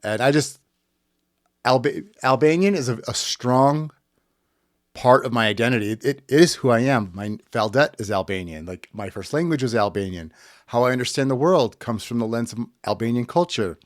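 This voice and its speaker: A man hosts a political podcast in a blunt, furious tone, swearing freely as he talks. His pitch sits in the middle range, and the close mic sounds dry, with no room around it. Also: expressive, moderate pace, dry recording. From United States. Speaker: podcast host. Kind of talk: impassioned political commentary.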